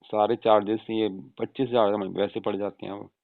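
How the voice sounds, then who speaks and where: A man playing an easygoing adult son reassuring his mother in an informal, chatty phone conversation, with a creaky voice on a tinny call recording. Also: lowish voice, little pitch movement, brisk, no room sound. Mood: casual, persuading. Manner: informal, chatty, often seeking agreement with tag questions. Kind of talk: staged casual phone conversation in Hindi.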